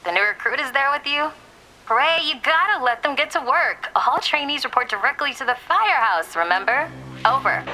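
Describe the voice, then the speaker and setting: An impatient dispatcher over radio. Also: female voice, sits high, lively intonation, normal speed, pushed and bright, a little hiss or hum, exaggerated voice, low-quality recording. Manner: impatient, scolding. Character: Kat on the radio, impatient woman.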